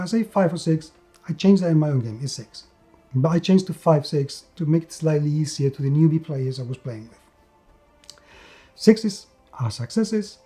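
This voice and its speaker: A man giving a conversational tabletop game review, emphatic, with repetition and dramatic pauses. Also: a little high, dark tone. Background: background music.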